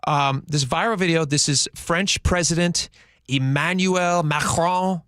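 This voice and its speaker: A man's voice: a little high, a little slow, fairly bright tone, smooth, no room sound, clean studio sound. A man co-hosting a radio show, chatting and joking in a casual, self-deprecating way. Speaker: radio co-host. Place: radio studio. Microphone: studio microphone.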